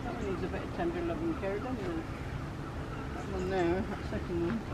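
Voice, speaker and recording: A woman's voice: on the low side, fairly quick, a crowd in the background. An older woman making casual remarks on her surroundings during a walk outdoors. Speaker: walking companion. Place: outdoors in seaside town streets. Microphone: camera microphone held by companion. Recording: noisy outdoor camera audio, street ambience.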